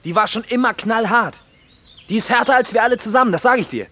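A man's voice: extremely high pitch, fast talker, bright, forceful tone, slight background noise. A man playing a bitter, crude, jilted young bridegroom in a German radio drama, aggressive and mocking. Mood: bitter anger.

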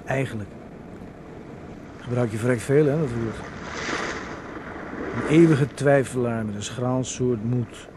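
Radio drama: male actor as wry older brother, thirties, dry teasing delivery, average pitch, noisy tape. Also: slow, long pauses, natural voice. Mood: mocking. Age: 30s. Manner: dry, mocking. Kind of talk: naturalistic radio play dialogue. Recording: noisy old radio play recording.

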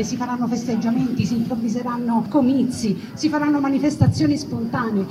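A woman's voice: fairly high pitch, highs cut off. A woman with a Roman Italian accent delivering a theatrical historical monologue, with emphatic repetition, rhetorical questions and wry colloquial asides. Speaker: storyteller performer. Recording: noisy, distant live recording with ambience.

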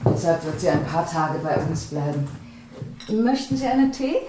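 A woman's voice: low voice, sing-song, normal speed, roomy sound, background noise. An actress playing a polite, anxiously curious middle-aged London landlady in a radio drama. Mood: welcoming.

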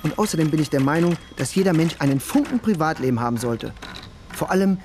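An actor playing a young, lovestruck police detective who is easily irritated, in a naturalistic German radio play. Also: male voice, fairly high pitch, lively intonation, fast talker, darkish tone, slight background noise. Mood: irritated, brisk. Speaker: actor in a radio crime drama. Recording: studio radio drama with clearly audible background ambience.

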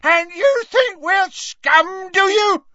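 A man voicing a spiteful, sneering wizard father in an audiobook, given to jeering and shouting. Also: high voice, wildly swooping pitch, a little slow, slightly rough, dry recording, lo-fi sound, voice pushed up, heavily acted. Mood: screaming outrage.

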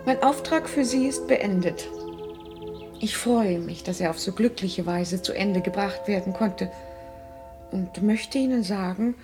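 An actress in a German radio drama playing a middle-aged employer, formal, courteous and quietly authoritative. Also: female voice, mid-range voice, measured pace, music in the background, close to an everyday voice. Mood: gracious, satisfied. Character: composed, businesslike female employer with a grown son.

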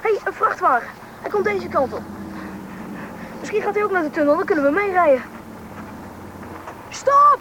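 A high, childlike voice of a curious, eager young boy in a radio play. The questioning is keen and lively, with faint noise on the recording. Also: normal speed, pauses often. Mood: urgent. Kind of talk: radio drama acting.

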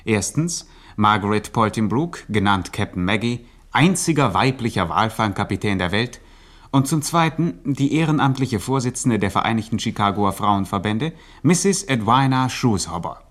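An actor narrating a gangster satire for radio in a scripted, mock-serious manner. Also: male voice, lowish voice, expressive, moderate pace, a bit bright.

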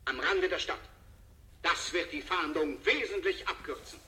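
An actor playing a sensationalist TV news reporter, giving emphatic, theatrical live manhunt commentary in a 1970s German radio drama. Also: male voice, on the high side, moderate pace, sharp tone. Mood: urgent. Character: sensationalist male TV news reporter, excited, menacing.

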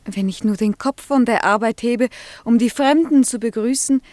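A woman's voice: a little high, normal speed, darkish tone, natural voice. An actress portrays a young peasant-born nun in a radio play. She is timid and earnest, with an inward, lyrical delivery. The voice echoes with long reverb, as in a stone hall. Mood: plaintive. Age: young adult. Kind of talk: German radio play acting.

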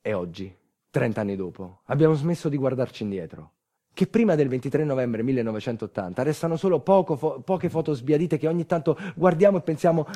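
A man's voice: a little high, mellow. An actor playing an earthquake survivor in a theatrical monologue, telling his town's bitter, nostalgic story in incantatory lists. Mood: wistful irony.

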